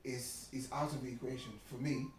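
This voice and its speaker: A man's voice: medium pitch, level tone, brisk, a little mellow. A Nigerian man with a Nigerian accent, talking casually as an interview guest in an explanatory way and often checking that he is understood. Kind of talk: casual conversation about marriage. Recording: echoey room, distant mic, faint noise.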